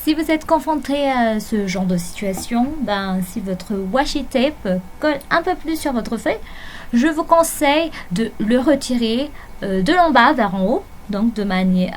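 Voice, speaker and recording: A woman whose first language is Malagasy, narrating a journal planning video in a hesitant way with many fillers and soft laughs. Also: a little high, lively intonation, measured pace, a little hiss or hum. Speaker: bullet journal vlogger. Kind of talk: voice-over narration of a plan with me. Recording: faint music behind voice-over.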